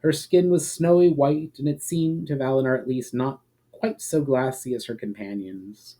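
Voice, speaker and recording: Man, mellow tone, mid pitch, dramatic fantasy narration, measured storytelling. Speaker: author reading own story.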